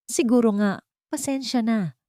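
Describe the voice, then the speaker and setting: A woman's voice: on the high side, measured pace, warm tone, no room sound, clean studio sound, voice pushed up, lightly acted. Woman voicing a reserved male doctor. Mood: apologetic. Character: male doctor, cool and professional, doubtful.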